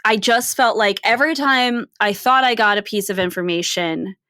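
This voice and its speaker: A woman's voice: medium pitch, normal speed, bright, forceful tone, no room sound, clean studio sound. A woman co-hosting a podcast, talking casually about film in an explanatory way, often checking in with "right?". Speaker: podcast co-host.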